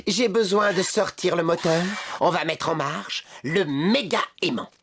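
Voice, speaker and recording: A woman's voice: fairly low pitch, a little slow, a little hiss or hum. A woman voicing a stern, stubborn, fussy robot mechanic in a dubbed children's cartoon. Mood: stern, annoyed. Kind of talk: French children's cartoon dubbing.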